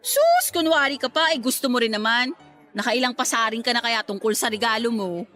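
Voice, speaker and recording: Bright-voiced, sentimental young woman. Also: fairly high pitch, very animated, a little fast, faint music behind. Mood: teasing, affectionate. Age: young adult. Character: sentimental young working woman, wistful aspiring teacher. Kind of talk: Filipino radio drama acting.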